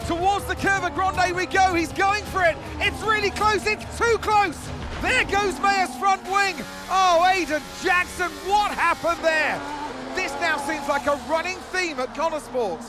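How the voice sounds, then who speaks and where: An excited race commentator for a video game. He calls the action live at a very high pitch, with loud music underneath. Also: a little slow.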